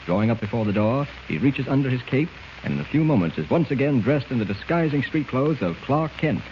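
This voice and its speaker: A man's voice: mid-range voice, big pitch swings, brisk, highs cut off. A man narrating a 1940s radio serial in a dramatic, suspenseful, declamatory style, on an old scratchy recording. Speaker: narrator, announcer.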